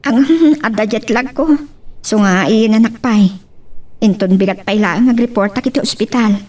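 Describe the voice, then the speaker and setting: A woman's voice: medium pitch, normal speed, a little mellow, distant mic, natural voice. A woman with a Filipino accent playing a polite, dutiful young working wife in an audio drama. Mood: casual, affectionate. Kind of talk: Ilocano radio-style drama acting.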